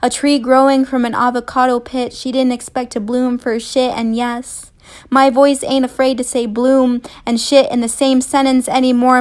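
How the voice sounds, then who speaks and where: Young woman reciting poetry in level, highish voice. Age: young adult. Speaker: student spoken word poet. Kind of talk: spoken word poetry recital.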